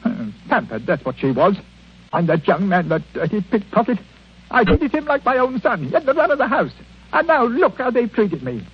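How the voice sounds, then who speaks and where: A man's voice: high-pitched, wildly swooping pitch, moderate pace, husky, full character voice. An actor playing a miserly, self-pitying old man, whining and blustering in 1940s radio drama style. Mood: self-pitying, bitter. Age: older. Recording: dull, noisy 1940s radio recording.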